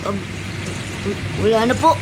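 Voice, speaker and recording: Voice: mid-range voice, unhurried, background noise, close to an everyday voice. An actor playing a talkative, eager young street boy in a radio drama, speaking politely and earnestly. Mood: plain, downcast.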